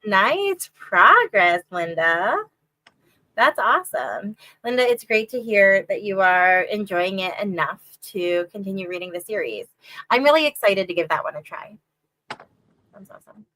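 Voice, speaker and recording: A woman's voice: a little slow, no echo. A woman co-hosting a reading stream in casual chat, talking chattily with lots of fillers and a slightly stuffy nose. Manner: chatty, lots of "like" and "um", lively rises in pitch. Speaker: co-host of a reading sprint stream.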